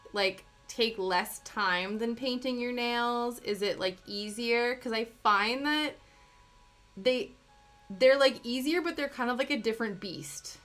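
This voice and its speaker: A woman's voice: on the high side, bright, forceful tone. A young woman from Canada chatting casually with viewers on a live stream, answering chat with giggly asides. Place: home, living in the UK. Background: faint background music.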